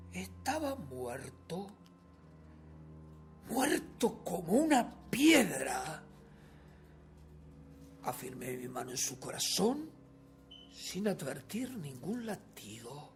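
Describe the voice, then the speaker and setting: Radio horror narrator: an unhinged, nervous murderer, wildly varied pitch, hushed to forceful, music underneath. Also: male voice, sing-song, creaky, full character voice. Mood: cold satisfaction. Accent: Uruguayan. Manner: theatrical, drawn-out, swinging between hushed and forceful. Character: nervous, obsessive male murderer insisting he is sane. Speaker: radio theatre actor narrating. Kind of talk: dramatic first-person horror story reading, radio theatre acting.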